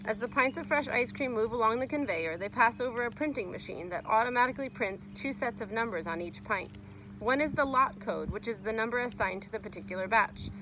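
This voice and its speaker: A woman's voice: a little high, animated, a little fast. Woman reading aloud over background noise. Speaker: teacher reading aloud to a class. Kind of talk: read-aloud of a children's nonfiction book with brief asides.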